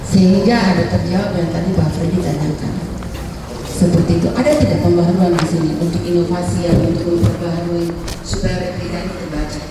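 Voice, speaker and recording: A woman's voice: fairly low pitch, fairly even intonation, fairly quick, heavy background noise. A woman on a judging panel, asking questions at length and adding joking asides. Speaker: competition judge. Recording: echoey hall, mic far off.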